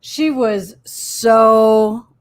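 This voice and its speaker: A woman's voice: on the high side, extremely slow. A woman chatting casually on an online show, telling stories and acting out what people said. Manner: chatty storyteller, acts out quotes. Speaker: co-host. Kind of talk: casual chat.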